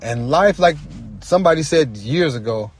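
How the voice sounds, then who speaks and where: A man talking casually and reflectively as a guest storyteller, earnest and halting, often repeating phrases for emphasis. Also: fairly high pitch, expressive. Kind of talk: casual, reflective personal talk.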